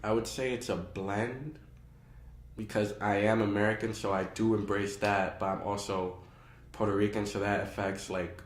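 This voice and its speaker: A young man with a lowish, flat voice answers deliberately and with emphasis. His voice rings in an echoey room. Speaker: interviewee. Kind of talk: casual interview answer.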